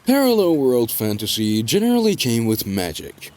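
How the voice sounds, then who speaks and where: A man reading scripted dramatic narration in a measured storytelling delivery, with deliberate pauses. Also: medium pitch, wildly swooping pitch, darkish tone.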